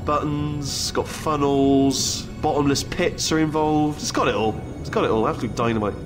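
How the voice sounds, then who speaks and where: An actor voicing a bumbling, power-drunk robot who rambles and stammers cockily in a video game. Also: male voice, fairly high pitch, steady tone, normal speed, lightly acted. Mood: boastful. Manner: rambling, stammering, cocky, self-interrupting. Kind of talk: video game character dialogue. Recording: echoey, distant, loud music behind.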